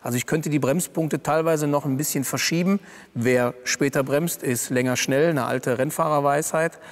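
A man in his thirties, a racing driver, explaining his lap calmly in a seated interview with many fillers. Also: fairly high pitch, moderate pace. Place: garage by a race track, indoors. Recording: reverberant garage.

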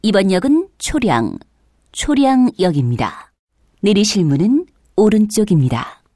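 A woman's voice: mid-range voice, big pitch swings, a little slow, dark tone, no room sound, studio quality. A woman reading scripted subway station announcements in a formal, carefully enunciated manner. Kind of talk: scripted public transit announcement.

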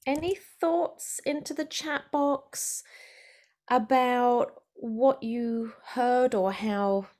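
A woman's voice: on the high side, fairly even intonation, drawn-out words, darkish tone. Woman speech therapist teaching online. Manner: friendly, explanatory, with fillers. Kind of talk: online presentation. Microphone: video call.

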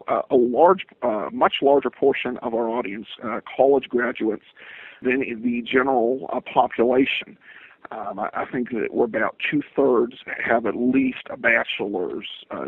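A man answering interview questions as a podcast guest, speaking in a halting, hesitant, stop-start way over a lo-fi line. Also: medium pitch, lively intonation, creaky, slightly rough, no room sound. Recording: tinny lo-fi phone-like line.

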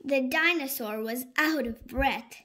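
High-pitched woman reading slowly to small children. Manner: slow, animated, with exaggerated emphasis for small children. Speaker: storybook reader for young children. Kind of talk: expressive read-aloud narration of a picture book.

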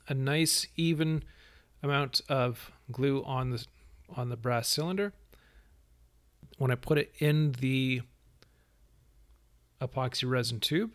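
A man hosting a woodworking channel, narrating his project steps in a relaxed voice-over and explaining each step plainly. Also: medium pitch. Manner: easygoing, explains steps plainly with small restarts.

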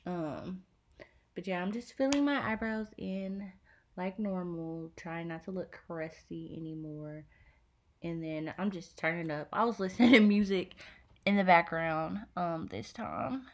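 A young woman talking casually through a makeup tutorial, relaxed and chatty with many fillers, in a small bedroom on a device mic. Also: fairly low pitch, unhurried. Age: young adult. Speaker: beauty vlogger.

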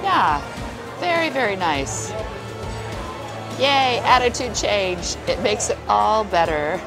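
A woman's voice: medium pitch, very animated, takes it slowly, poor audio. A woman casually reviewing food to camera in a playful, exclaiming way, chatting between bites. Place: busy buffet restaurant, indoors. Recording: busy restaurant chatter with music behind. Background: crowd chatter and music.

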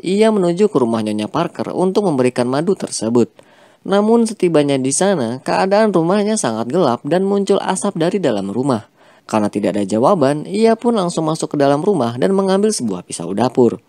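A man reading a scripted film plot recap as a voice-over, with a steady storytelling delivery. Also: fairly high pitch, no room sound. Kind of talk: scripted narration.

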